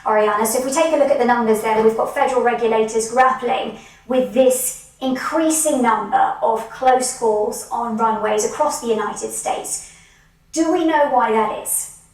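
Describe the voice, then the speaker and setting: A woman's voice: fairly high pitch, moderate pace. A woman anchoring a news broadcast in a formal, polished manner, reading scripted news and conducting interviews. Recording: very echoey, distant from the mic.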